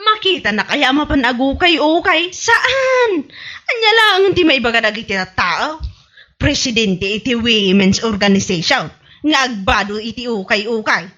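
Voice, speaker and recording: A woman's voice: fairly high pitch, very animated, unhurried, bright, forceful tone, lo-fi sound, heavily acted. A woman with an Ilocano Filipino accent playing a vain, bossy president of a village women's club in a radio comedy drama, haughty and imperious. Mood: vain, boastful.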